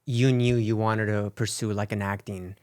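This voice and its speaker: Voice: mid-range voice, little pitch movement, normal speed, darkish tone. A host opening and running a casual interview, asking questions with restarts and fillers.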